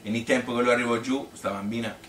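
A man's voice: medium pitch, steady tone, a bit bright, natural voice. Man acting rambling old Tuscan grandmother, roomy far recording. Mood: wistful, matter-of-fact. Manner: colloquial, rambling, halting with repeated words. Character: chatty, rambling old Tuscan grandmother telling her life story. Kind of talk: theatrical monologue storytelling. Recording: roomy, noisy, distant stage recording.

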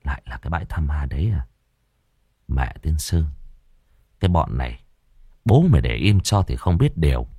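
A stern, world-weary spirit master, acted by a male storyteller. He broods and mutters in a deep register, recorded dry, as in a spoken ghost tale. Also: expressive, moderate pace, pauses often, a little mellow, dry recording. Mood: muttering anger.

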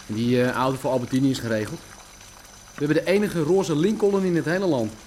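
An actor playing a brisk, cheerful underworld errand man in a radio drama. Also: fairly high pitch, a little mellow, a little hiss or hum, natural voice. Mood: brisk, chatty.

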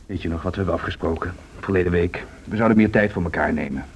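A man's voice: sits low, quick pace. A man acting a blunt, grumbling, sardonic husband in a Dutch social radio drama. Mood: disapproving. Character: grumbling, sardonic husband opposed to wife's ambitions. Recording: dull, noisy radio drama recording.

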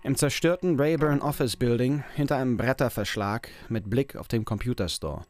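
A man's voice: mid-range voice, normal speed, a little mellow, slight background noise, close to an everyday voice. A man reading scripted scene-setting narration for a radio drama.

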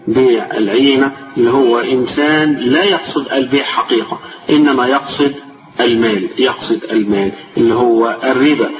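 Tired-voiced Egyptian sheikh in an echoey hall. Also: male voice, medium pitch. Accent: Egyptian Arabic. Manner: reads a text slowly, then explains it in colloquial speech, repeating key phrases. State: tired, strained voice. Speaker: sheikh teaching a class. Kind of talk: religious lesson, reading a text and explaining it. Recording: distant, echoey, dull-sounding lecture recording with music.

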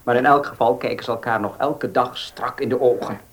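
An actor playing an earnest, proud young farmer in a radio play, husky and plain-spoken, with emotional delivery. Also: male voice, medium pitch, fairly even intonation, fairly quick, slight background noise, close to an everyday voice. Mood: eager, courting. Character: earnest young horse-loving farmer, proud, short of money. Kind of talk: Dutch radio play acting.

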